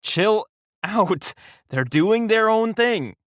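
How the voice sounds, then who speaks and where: An actor playing a reluctant, exasperated accomplice in an audio drama comedy, deadpan and grumbling. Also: male voice, sits high, takes it slowly, no room sound, studio quality, full character voice.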